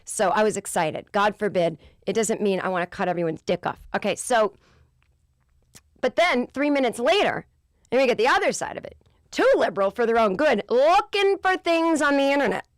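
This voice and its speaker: A woman in her forties hosting a podcast, with a low voice, ranting in an exasperated, profane way in a casual comedic monologue. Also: lively intonation, moderate pace, no room sound, clean studio sound. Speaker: podcast host.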